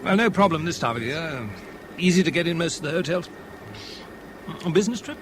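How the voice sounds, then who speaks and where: A man's voice: fairly high pitch, animated, fairly quick, background noise, close to an everyday voice. An actor playing an affable, worldly British airline man in a 1970s radio drama, breezy, jocular and colloquial. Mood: casual, friendly. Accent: British English.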